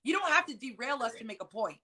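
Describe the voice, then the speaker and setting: A woman's voice: on the high side, animated, fast, crowd noise. An American woman hosting a live-stream talk show, earnest and conversational. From United States. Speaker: host.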